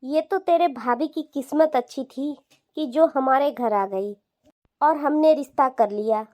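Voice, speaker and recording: A woman's voice: high-pitched, unhurried, a little mellow. A woman voicing a scornful, bossy middle-aged mother-in-law with a mocking, dismissive way of talking in a cartoon family drama. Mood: dismissive gossip. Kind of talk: animated family drama dialogue.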